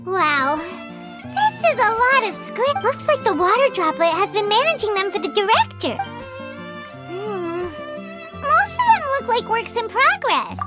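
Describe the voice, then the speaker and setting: Squeaky little fairy companion. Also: female voice, big pitch swings, unhurried, heavily acted. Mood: excited, searching. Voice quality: squeaky, childlike. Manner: exclaims brightly, speaks of herself in third person. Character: small childlike female floating fairy companion, perky and blunt. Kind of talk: anime video game voice acting. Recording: loud game music underneath.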